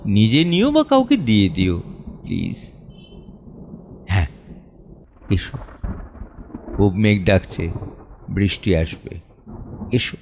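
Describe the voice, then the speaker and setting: A man playing an anguished, protective middle-aged father in an audio drama monologue, with halting, emotive delivery full of pleas and pauses. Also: lowish voice, very animated, a little mellow, a little hiss or hum, exaggerated voice. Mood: weary, subdued. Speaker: voice actor and reciter. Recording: music under the voice. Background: background music.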